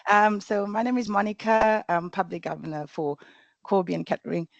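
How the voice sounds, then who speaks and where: A woman speaks in a reflective, halting manner and pauses often to find her words. Her pitch sits in an ordinary middle range. The sound is dull and lo-fi, and it is dry, with no room around it. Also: level tone, vocal fry, no room sound. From Zimbabwe. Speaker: public governor. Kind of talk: personal introduction at a meeting. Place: remote video call. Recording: dull, lo-fi video call audio.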